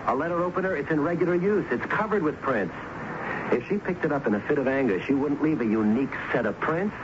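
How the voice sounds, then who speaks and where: An actor in a vintage radio drama playing a probing, persistent police lieutenant who questions insistently. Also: male voice, on the high side, expressive, quick pace, highs cut off, lightly acted. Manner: questioning, insistent, hesitant lead-ins. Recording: noisy, band-limited old radio broadcast.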